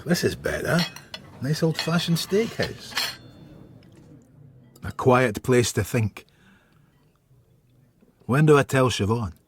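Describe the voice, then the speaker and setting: An actor playing a weary, sardonic middle-aged police inspector in a radio drama, with a gruff manner of speaking. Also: male voice, mid-range voice, sing-song, slow, pauses often, noisy background. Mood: brooding.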